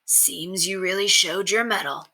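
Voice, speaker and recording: A woman voicing a steely, measured male bandit king in a storybook, with deliberate, folksy phrasing. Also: mid-range voice, sharp tone, rough recording. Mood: approving.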